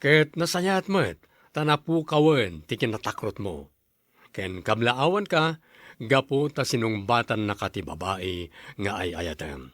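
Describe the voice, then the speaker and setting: A man hosting a radio drama program, delivering scripted announcements in a measured, formal way. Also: lowish voice, expressive, a bit bright, no echo, clean studio sound.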